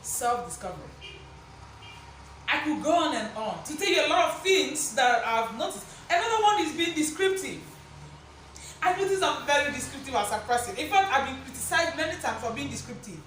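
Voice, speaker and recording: A woman delivers a motivational teaching talk in a high, loud, projecting voice. She is emphatic, repeats key phrases and checks in with rhetorical questions. The recording is very reverberant and picked up from a distance. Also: very animated, a little fast. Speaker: motivational speaker and presenter. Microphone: distant camera mic, no microphone on speaker. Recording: echoey room, distant mic, faint noise.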